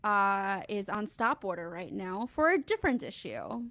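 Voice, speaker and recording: A woman guest in casual chat, telling animated stories and acting out what people said. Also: mid-range voice, moderate pace, dry recording. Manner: animated storyteller, acts out quotes. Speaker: podcast guest.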